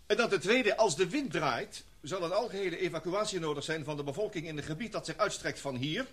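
A man playing a husky, methodical professor. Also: on the high side, lively intonation, brisk, fairly bright tone. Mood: serious. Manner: methodical, lays out reasons point by point. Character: male scientist professor, methodical and serious. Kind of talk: radio play acting.

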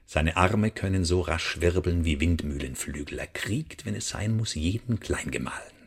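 Smug french spy boss, lowish voice, taunting n fake friendly, radio drama. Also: male voice, moderate pace, creaky, husky, heavily acted. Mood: threatening. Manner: taunting, theatrical, swinging emphasis. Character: menacing French spymaster, smug, mock-friendly blackmailer. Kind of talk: radio play acting.

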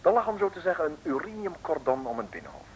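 A man's voice: fairly high pitch, huge pitch swings, fast. A man narrating an old radio serial in a playful, theatrical, mock-heroic scripted style. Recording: old radio recording, faint noise.

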